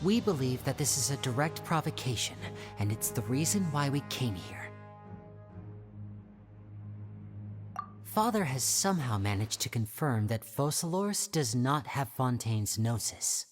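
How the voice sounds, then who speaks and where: A woman's voice: a bass voice, sing-song, normal speed. A woman voicing a polite, shrewd young man in a video game, speaking with polished, diplomatic composure. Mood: measured, secretive. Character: polite, shrewd young male stage magician, composed schemer. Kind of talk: video game character dialogue. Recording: faint music behind.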